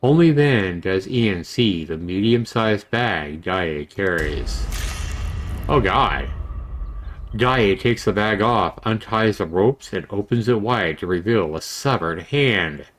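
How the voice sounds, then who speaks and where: A man reading a visual novel game aloud as narrator, deliberate and storytelling, with casual asides. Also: lowish voice, expressive, fairly bright tone. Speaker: video game reviewer and narrator.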